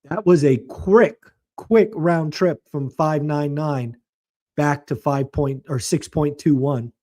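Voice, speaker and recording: A man hosting a real estate show, giving blunt, emphatic market commentary in a slightly hoarse voice. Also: a little high, slow pace, a little mellow. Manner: emphatic, blunt and colorful, mocking. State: slightly hoarse, losing his voice.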